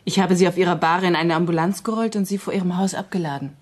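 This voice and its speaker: Casting: woman for a level-headed lab assistant turned ally in radio drama, lowish voice, firm and plain-spoken. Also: steady tone, fast talker, close to an everyday voice. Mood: sincere, subdued. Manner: firm, matter-of-fact. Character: sober, resolute female clinic assistant turned ally.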